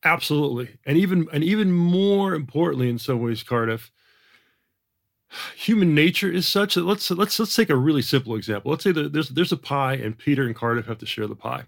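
A man's voice: a little high, lively intonation, fairly quick, gravelly. A man from Jamaica with a general American accent, explaining economics as a podcast guest in an emphatic way, often repeating words and adding rhetorical asides.

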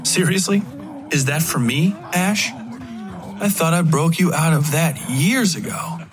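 A man voicing a menacing, contemptuous husband in a dark audio story, condescending, sneering and profane. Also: fairly high pitch, measured pace, crowd noise, voice pushed up, natural voice. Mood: mocking. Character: menacing, contemptuous, abusive husband with political ambitions. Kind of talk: dramatic audiobook character dialogue.

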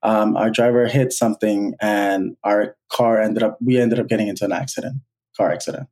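A man in his thirties from Bangladesh, a guest telling his life story in an earnest, halting way with many restarts. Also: on the low side, steady tone, darkish tone. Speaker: guest, college alumnus. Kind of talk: personal storytelling interview.

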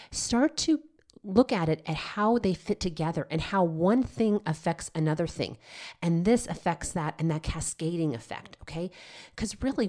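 Older lady podcast host, low voice, a bit stuffy from a cold, chatty and upbeat. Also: dark tone. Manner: chatty, encouraging, often tags "okay?". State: slightly nasal from a head cold. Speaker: podcast host, nurse practitioner. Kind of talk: solo health podcast talk.

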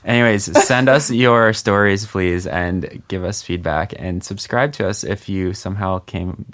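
A man's voice: low-pitched, a little slow, fairly bright tone. A man hosting a podcast, chatting casually and rambling with lots of fillers and jokes. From Maryland, USA. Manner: rambling, filler-heavy, joking. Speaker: podcast host. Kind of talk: casual chat.

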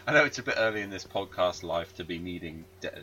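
A man's voice: low voice, animated, fast, over a noisy crowd, low-quality recording. A man hosting a casual podcast over a call, rambling and self-deprecating with lots of fillers. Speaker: podcast host.

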